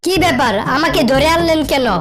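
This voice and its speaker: Woman voicing a high, cheeky cartoon boy prankster. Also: close to an everyday voice. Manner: cheeky, quick comebacks. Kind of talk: scripted cartoon joke skits. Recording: loud music over the voice.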